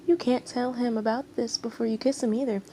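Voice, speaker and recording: Smug mischief-maker for a story reading, voiced by a woman: raised pitch, taunting, slightly noisy recording. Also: steady tone, fairly quick, dark tone, close to an everyday voice. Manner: taunting, smirking delivery.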